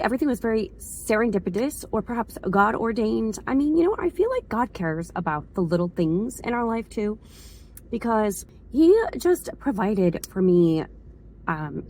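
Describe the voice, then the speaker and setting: A woman with a hoarse voice from a cold, chatting in a casual, rambling vlog as she circles back to restate things. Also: mid-range voice, a little mellow, slight background noise, poor audio. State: hoarse from a cold, slight sore throat. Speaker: vlogger, school staff member.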